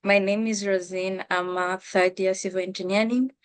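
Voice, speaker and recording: A woman's voice: mid-range voice, level tone, moderate pace, smooth. A young woman from Rwanda introducing herself casually as a student panelist. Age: young adult.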